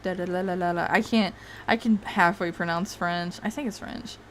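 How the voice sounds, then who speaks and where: A woman's voice: lowish voice, steady tone, moderate pace, slight background noise. A woman hosting a casual livestream, rambling and swearing freely, with frequent laughs. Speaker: streamer host.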